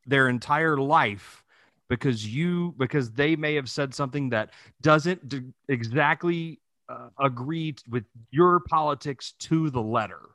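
A man's voice: mid-range voice, measured pace, a bit bright, no echo. Emphatic man co-hosting, very dry sound. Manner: emphatic, profane. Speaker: podcast co-host. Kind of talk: casual opinionated podcast chat.

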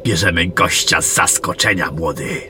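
A man playing a gruff, wary veteran general who mentors a young warrior in a fantasy audio drama. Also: low-pitched, moderate pace, noisy background, close to an everyday voice. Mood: wary, commanding. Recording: music under the voice.

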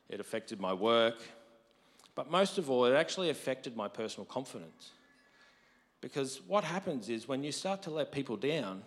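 A married man preaching a conversational sermon, his voice deep from lack of sleep, rising to emphatic quotes. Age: adult, married. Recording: long hall reverb.

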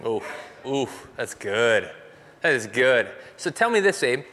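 A man's voice: medium pitch, big pitch swings, slow pace, bright, forceful tone, slight background noise. A man hosting a church service from the stage, upbeat and enthusiastic as he introduces a guest. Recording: long reverb of a large hall.

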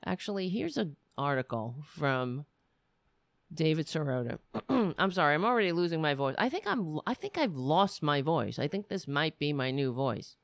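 A man with a raspy voice hosting a political commentary show, reading articles aloud and breaking into heated, sarcastic insults. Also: a little high, very animated, moderate pace, fairly bright tone, no room sound. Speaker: podcast host.

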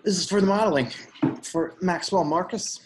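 A woman's voice: lowish voice, normal speed, background noise, natural voice. A woman playing a polite, flustered and chatty newcomer in a stage play reading, a little out of breath. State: out of breath, acted. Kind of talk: readers theatre play reading.

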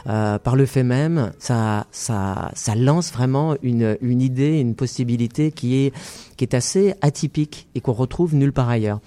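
A man from France, a festival founder, answering interview questions in a measured way with many pauses and fillers. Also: medium pitch, warm tone. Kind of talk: interview conversation.

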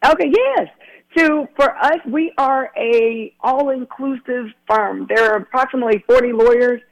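A man's voice: very high-pitched, normal speed, dull audio. A man, a guest attorney talking earnestly in a casual radio interview with many restarts. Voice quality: raspy.

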